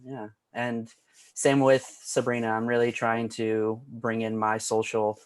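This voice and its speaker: A man's voice: medium pitch, monotone, a little slow, clean tone, dry recording. A man with an American accent introducing himself casually as a panelist in a roundtable. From Orlando, Florida, United States. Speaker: roundtable panelist, lighting and video designer.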